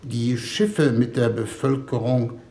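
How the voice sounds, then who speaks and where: A man's voice: medium pitch, fairly even intonation, slow, mellow. An actor playing a formal, ponderous, idealistic foreign minister in a 1950s German radio play, hesitant in his speechmaking. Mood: formal, measured. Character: deliberate, idealistic male foreign minister leading a diplomatic mission. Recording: roomy 1950s radio recording, background hiss.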